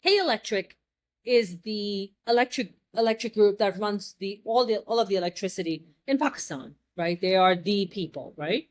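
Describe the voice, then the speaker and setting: A young adult American woman telling stories in a casual chat, lively and blunt, joking and swearing freely. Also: mid-range voice, moderate pace. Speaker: guest.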